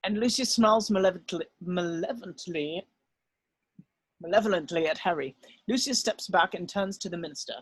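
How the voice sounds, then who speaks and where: A man's voice: high voice, a little fast, breathy edge. A man reading stage directions as narrator in a screenplay table read.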